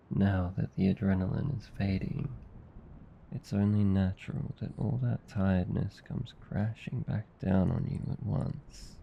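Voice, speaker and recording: Low, gravelly Australian demon comforter, acted by a man. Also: muffled recording, slight background noise, full character voice. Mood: soothing, sleepy. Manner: soft, intimate, soothing, teasing. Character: gentle, affectionate male sleep paralysis demon, protective comforter. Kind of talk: ASMR roleplay.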